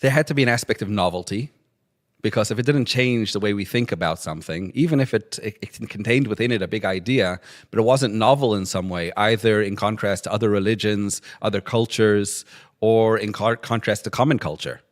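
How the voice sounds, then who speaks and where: Low-voiced author listing his criteria. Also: fairly quick. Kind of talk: interview answers.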